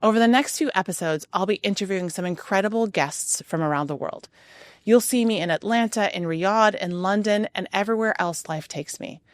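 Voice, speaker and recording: A middle-aged woman whose first language is Arabic, hosting a podcast from a hotel room in an earnest scripted monologue of rhythmic lists and emphatic pauses. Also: mid-range voice, no echo. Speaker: podcast host.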